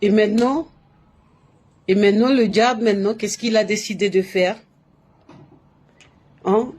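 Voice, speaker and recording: A woman's voice: mid-range voice, fairly even intonation, measured pace, a little mellow. A woman from Latin America talks straight into her phone or webcam on a live stream, in French. Her delivery is emphatic and looping, and she repeats words, restarts her sentences and keeps coming back to the same fillers. She sounds like a spiritual streamer giving her viewers advice. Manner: emphatic, repetitive, uses many fillers and restarts. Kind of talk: casual live-stream monologue.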